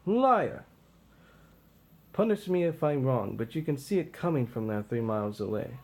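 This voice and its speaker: A man's voice: mid-range voice, big pitch swings, moderate pace, darkish tone. A man playing a bitter, defiant tyrant king facing his doom, declaiming in a brooding, combative way. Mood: angry, resolute.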